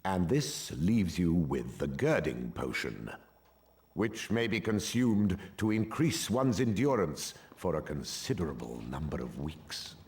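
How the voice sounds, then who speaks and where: An actor playing a stern, formal potions professor in a video game, speaking in a measured, deliberate way. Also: male voice, low-pitched, big pitch swings, darkish tone. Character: stern, slow-drawling potions professor lecturing his class. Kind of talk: video game cutscene acting.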